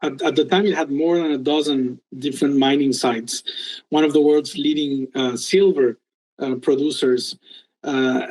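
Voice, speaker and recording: A middle-aged man from Peru, a guest telling his career story in an interview, with many pauses and restarts. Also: fairly high pitch, darkish tone, rough recording. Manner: hesitant, many ums and restarts. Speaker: guest entrepreneur. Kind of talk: casual interview talk.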